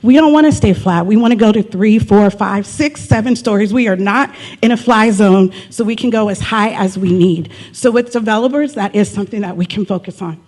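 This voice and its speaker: A presenter giving an upbeat conference pitch about a downtown, full of rhetorical questions. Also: brisk, few pauses, darkish tone. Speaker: city presenter.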